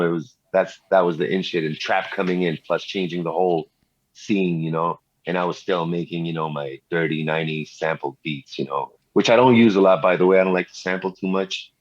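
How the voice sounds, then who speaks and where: Man, very deep husky voice, laid-back slang, dull lo-fi sound. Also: fairly even intonation, a little fast. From Montreal, Canada. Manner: laid-back street slang, many fillers like "you know". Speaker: rapper and music producer, guest. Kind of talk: casual interview storytelling.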